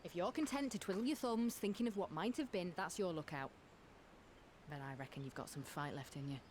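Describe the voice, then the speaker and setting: An actress playing a blunt, no-nonsense friend in a fantasy video game, chiding in colloquial speech. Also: female voice, on the low side, fairly quick, noisy background, natural voice. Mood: gruff encouragement. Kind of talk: dramatic video game voice acting.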